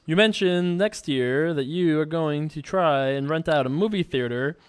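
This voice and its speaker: A man's voice: on the high side, moderate pace, clear, resonant tone. A man with an American accent in casual, rambling chat, hosting a poker show and answering viewer questions in a conversational way. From Long Island, New York, United States. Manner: rambling, conversational, frequent 'you know'.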